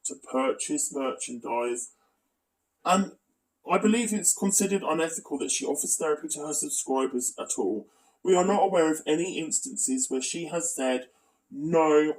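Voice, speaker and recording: A man's voice: a little high, recorded from a distance, rough recording. A man narrating a scripted exposé as a video commentator, with asides and a critical, accusatory manner.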